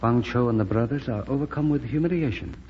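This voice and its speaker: An actor playing a humble, courteous Chinese lieutenant in an old-time radio drama, speaking formal, halting English as a second language. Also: male voice, medium pitch, close to an everyday voice. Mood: sorrowful, restrained. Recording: dull, faintly noisy vintage recording.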